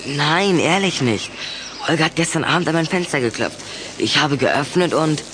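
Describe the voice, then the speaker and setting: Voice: mid-range voice, expressive, moderate pace, noisy background, lightly acted. A performer playing a nervous, evasive schoolboy in a German children's radio play, with a hesitant, earnest delivery.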